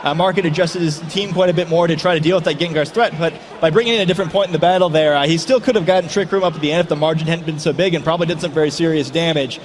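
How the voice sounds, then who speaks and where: A man giving color commentary at a gaming tournament, breaking down strategy in a quick, analytical way with frequent fillers. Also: a little high, steady tone.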